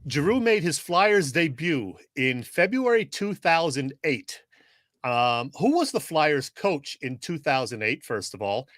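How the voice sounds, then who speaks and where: Bright-voiced man co-hosting sports talk. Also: fairly high pitch, very animated, unhurried, no echo, studio quality. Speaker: sports podcast co-host. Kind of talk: casual sports chat.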